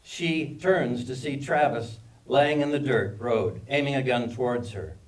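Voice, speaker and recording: A man narrates screenplay action at a live reading, picked up from a little distance. His voice is mid-range, and he delivers the scene headings and directions as scripted narration. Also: mid-range voice. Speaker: stage-direction reader. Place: live table read at a film festival.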